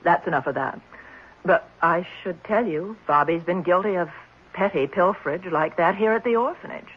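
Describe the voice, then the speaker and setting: A woman's voice: on the low side, moderate pace, husky. An actress playing a stern, matter-of-fact woman who runs an orphanage in a 1970s radio drama. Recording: muffled, noisy vintage radio recording.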